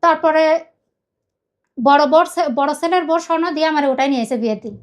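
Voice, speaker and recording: A woman's voice: sits high, brisk. A middle-aged woman with a Bangladeshi accent giving an emotional personal account, earnest and rambling, often repeating herself. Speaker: guest telling their life story.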